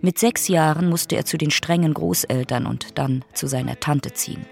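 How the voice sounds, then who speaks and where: A man's voice: on the high side, moderate pace, creaky, soft background music. A man narrating a documentary radio drama from a script.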